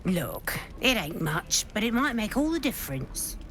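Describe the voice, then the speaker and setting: Gruff camp cook, blunt and plain, voice swings up and down. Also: female voice, medium pitch, sing-song, a little slow, fairly bright tone, slight background noise. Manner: blunt, brusque, plain-spoken. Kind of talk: video game dialogue.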